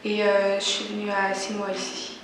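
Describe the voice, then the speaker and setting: A woman's voice: medium pitch, completely flat delivery, moderate pace. A young woman from Algeria, giving short, hesitant answers in an interview. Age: young adult. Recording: roomy with light hiss.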